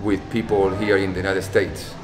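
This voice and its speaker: A man's voice: low-pitched, little pitch movement, moderate pace. A man, speaking thoughtfully in an interview, often repeating words. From Spain. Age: 50s. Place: dance studio, indoors. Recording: echoey hall with music behind.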